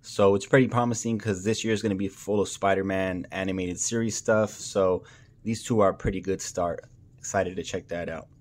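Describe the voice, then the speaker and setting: A man hosting a casual toy review, speaking informally and enthusiastically with plenty of slang. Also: on the low side.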